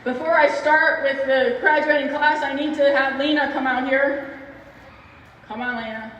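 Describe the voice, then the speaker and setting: A woman's voice: sits high, steady tone, moderate pace, a bit bright, muffled recording. A woman hosting a ceremony as the organization's leader, speaking informally and off the cuff. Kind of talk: informal unscripted ceremony address. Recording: echoey distant PA with faint noise.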